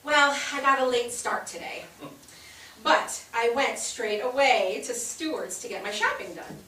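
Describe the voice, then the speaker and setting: An actress in a staged reading playing a bubbly, bossy 1860s society wife, gushing and insistent in a theatrical way. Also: female voice, a little high, a little slow, bright, forceful tone. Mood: playful, insistent. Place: theatre stage, recorded from a distance. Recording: echoey stage, distant mic, faint noise.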